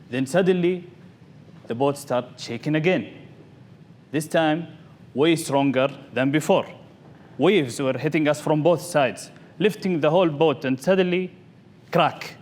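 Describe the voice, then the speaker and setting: A man with a slightly high voice delivers a theatrical story as a contest speaker. He talks in short, deliberate phrases with dramatic pauses. The live event recording has some background noise. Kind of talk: theatrical storytelling speech to an audience.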